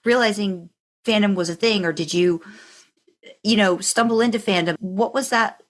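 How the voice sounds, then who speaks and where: A woman's voice: medium pitch, normal speed. A woman hosting a casual conversational interview, informal and thinking aloud as she goes. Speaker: podcast host, interviewer.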